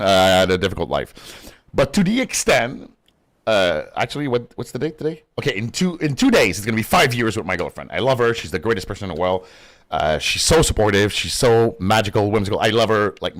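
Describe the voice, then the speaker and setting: A young man with a Quebec French accent chats casually in English while gaming. His pitch swings widely and animatedly, and he rambles through his stories with plenty of swearing and laughter. His voice sits in a middle register. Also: a bit bright. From Quebec, Canada. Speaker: gaming streamer.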